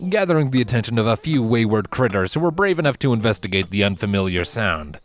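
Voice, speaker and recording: Male narrator for fantasy fiction: lowish voice, very lively intonation, dramatic delivery, light music bed. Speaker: story narrator. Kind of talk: scripted fantasy storybook narration.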